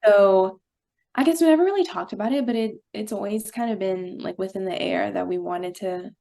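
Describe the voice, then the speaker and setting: A woman's voice: mid-range voice, normal speed, vocal fry, dark tone. Giggly teenage girl from Brazil. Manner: bubbly, giggly, hesitant with fillers. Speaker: guest actress. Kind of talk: casual interview answers.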